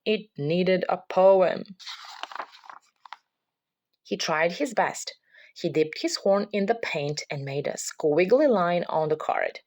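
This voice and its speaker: A woman's voice: lowish voice, expressive, slow, pauses often, a little mellow, a little hiss or hum. A woman reading a children's picture book aloud as a parent would, in scripted storybook narration.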